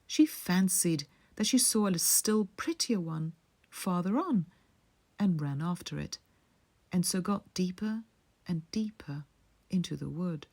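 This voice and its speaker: Slow, soothing woman bedtime storyteller. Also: lowish voice, expressive, a little mellow. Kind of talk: slow, soothing scripted fairy-tale narration for sleep.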